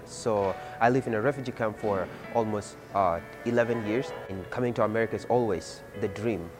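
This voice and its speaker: A young man from Ethiopia, a student leader, telling his story earnestly with many fillers. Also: fairly low pitch. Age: young adult. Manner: earnest, halting with frequent fillers. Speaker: community college student leader. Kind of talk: personal interview testimony. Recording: music bed under the voice.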